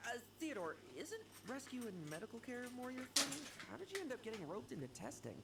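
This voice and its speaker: A woman's voice: on the high side, big pitch swings, a little fast. A woman voicing a cocky young knight with a brash, mocking manner. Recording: streamed game audio with noise.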